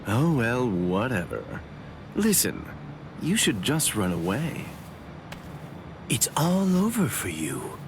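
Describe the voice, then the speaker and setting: An actor playing a sly, mocking villain's lieutenant in an anime game dub, with a teasing, falsely casual drawl. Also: male voice, mid-range voice, huge pitch swings, slow, pauses often, noisy background, exaggerated voice. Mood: taunting menace.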